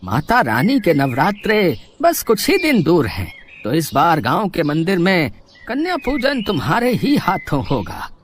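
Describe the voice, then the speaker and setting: A man's voice: on the high side, wildly swooping pitch, measured pace, slight background noise. A man voicing a stern but fatherly village headman in a cartoon story, emphatic and authoritative. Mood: sympathetic.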